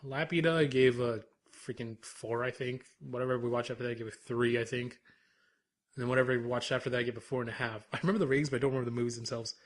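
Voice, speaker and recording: A man's voice: mid-range voice, fairly even intonation, very fast. A young Canadian man co-hosting a casual podcast, rambling in a laid-back way and laughing often. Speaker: podcast co-host.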